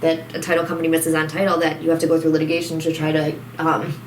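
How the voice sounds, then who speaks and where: A woman co-hosting a casual chat show, excitable, with a high squeaky voice. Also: quick pace, a little hiss or hum. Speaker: co-host. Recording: echoey room.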